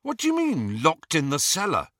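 Old witch, malicious and gleeful, shrill cackle, dry close sound; male reader. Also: wildly swooping pitch, moderate pace, fairly bright tone, no echo, heavily acted. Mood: accusing. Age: older. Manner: cackling, needling, high-pitched. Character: shrill gossiping old witch, spiteful and relishing.

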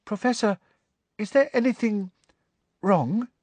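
A man voicing a timid, concerned teenage schoolgirl in a storybook, hushed and tentative. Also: high voice, takes it slowly, no room sound, pitched above the performer's own voice.

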